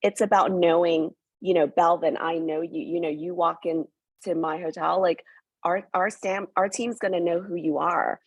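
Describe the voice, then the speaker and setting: An eager woman from New York talks up a hotel in an interview, full of fillers. Her voice sits low and sounds husky. The recording is dry and close. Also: fairly even intonation, normal speed, no echo. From born in Hong Kong, raised in New York. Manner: enthusiastic, many fillers. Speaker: hotel representative. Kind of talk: promotional interview.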